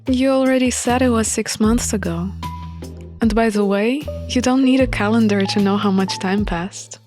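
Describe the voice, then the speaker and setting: A woman's voice: on the high side, moderate pace, darkish tone, lightly acted. A woman voicing an outspoken, questioning young female alchemist in a visual novel. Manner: questioning, direct. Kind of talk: visual novel character reading. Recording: music under the voice.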